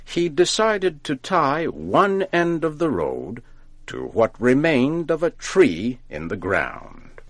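Slow, expressive male storyteller. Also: on the high side, no echo. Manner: deliberate, carefully enunciated. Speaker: storyteller, narrator. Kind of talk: scripted folk tale narration for learners.

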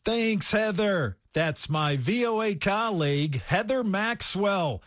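A man anchoring a radio news show, speaking in slow, deliberate links. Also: high voice, animated, fairly bright tone, dry recording.

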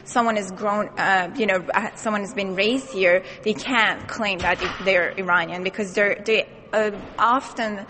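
A woman's voice: mid-range voice, steady tone, measured pace, hardly any pauses, sharp tone, a little hiss or hum. Hesitant woman instructor, reverberant room. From Iran. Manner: hesitant, many fillers. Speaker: language instructor.

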